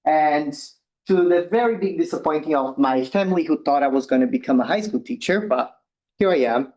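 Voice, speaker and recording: A young man from Brazil with a Brazilian Portuguese accent, giving a relaxed science talk as a postdoctoral researcher, with ums and slightly loose non-native phrasing. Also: a little high, a little fast, dull audio. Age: young adult. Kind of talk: informal science presentation.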